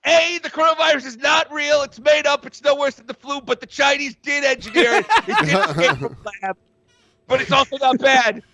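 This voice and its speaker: A man's voice: extremely high pitch, expressive, brisk, bright, forceful tone, full character voice. A man playing a defeated villain doctor in a tabletop game, rambling and wheedling as he bargains for mercy. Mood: scheming, explaining.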